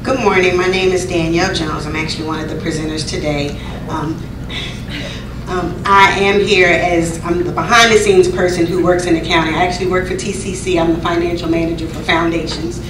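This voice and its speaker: A woman's voice: fairly low pitch, steady tone, brisk. A woman who works as a financial manager, introducing herself in a friendly way with some fillers. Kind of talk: self-introduction to a group. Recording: echoey room, distant mic, noise.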